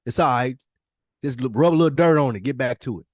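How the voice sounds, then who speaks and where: A man's voice: mid-range voice, fast talker, no room sound, clean studio sound. A man co-hosting a podcast in casual, candid conversation, speaking earnestly and emphatically with plenty of swearing. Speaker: podcast co-host.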